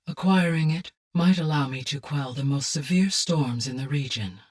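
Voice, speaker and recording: A woman playing a calm, caring, erudite artificial intelligence in a video game, speaking formally and precisely in a low, husky voice. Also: recorded from a distance. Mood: calm, informative. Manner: formal, measured, precise diction. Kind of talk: scripted video game dialogue.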